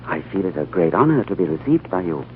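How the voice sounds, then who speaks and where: A man playing a composed, thoughtful gentleman in a 1940s radio drama, urbane and reflective. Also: low voice, sing-song, fast, slight background noise. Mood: polite. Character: composed, thoughtful gentleman diplomat, narrating his adventure. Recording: old narrow-band radio, music behind.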